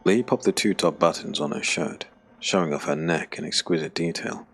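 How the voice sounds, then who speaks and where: A man narrating a dark fantasy audiobook drama in measured, weighted phrases. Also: very deep voice, vocal fry, husky. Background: background music.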